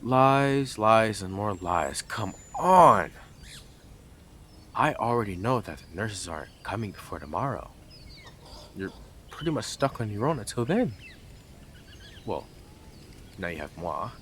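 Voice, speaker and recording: A man's voice: on the low side, lively intonation, measured pace, pauses often, fairly bright tone, a little hiss or hum. A man playing a blunt, smug, obsessive male neighbour in an audio roleplay, with a taunting, sarcastic delivery and dramatic emphasis. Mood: mocking, smug. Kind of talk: scripted audio roleplay addressed to listener.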